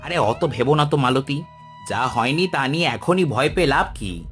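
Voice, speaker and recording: A man voicing a humble, kindly village farmer in an animated moral story, respectful and earnest. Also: mid-range voice, normal speed, soft background music, close to an everyday voice. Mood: friendly, reassuring.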